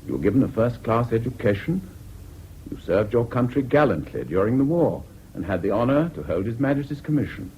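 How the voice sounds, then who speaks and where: An actor playing a grave, disapproving English judge in a formal, measured radio drama style, with a British accent. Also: male voice, mid-range voice, sing-song, a little mellow, lightly acted. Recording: dull lo-fi old radio recording, background noise.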